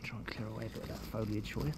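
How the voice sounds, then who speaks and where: A man's voice: fairly low pitch, little pitch movement, rapid-fire, vocal fry. Man muttering over heavy outdoor noise. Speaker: vlogger and forager. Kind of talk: casual outdoor vlog narration. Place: outdoors in a grassy field with trees. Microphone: handheld phone or camera. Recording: very noisy outdoor handheld recording, handling clicks.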